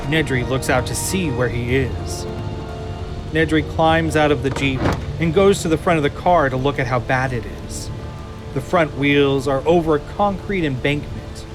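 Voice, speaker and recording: A man reading dramatic scripted narration for an audio drama with suspenseful, deliberate phrasing over music. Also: on the high side. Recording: loud cinematic music bed.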